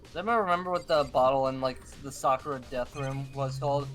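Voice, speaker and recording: A man's voice: mid-range voice, brisk, a bit bright, faint music behind. A man chatting casually as a co-player in a group game stream. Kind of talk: casual chat.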